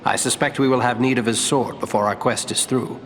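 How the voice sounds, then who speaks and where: Fantasy game heir-to-the-throne ranger, male actor: sincere, dignified, measured, long reverb, faint music underneath. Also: medium pitch, fairly even intonation, a bit bright, close to an everyday voice. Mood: earnest. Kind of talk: fantasy game dialogue acting.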